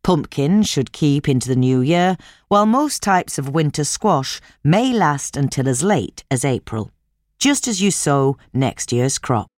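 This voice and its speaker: Female narrator, very low register, lively melodic reading of scripted practical advice, dry sound. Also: unhurried, no echo. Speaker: narrator reading a gardening article.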